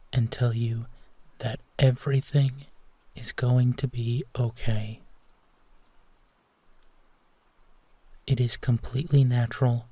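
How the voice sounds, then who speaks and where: A man playing a gentle, protective werewolf who tenderly reassures his partner in an intimate bedtime roleplay, leaving long pauses. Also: medium pitch, breathy edge, dry recording. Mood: tender, reassuring. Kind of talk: intimate roleplay audio, soft bedtime comfort.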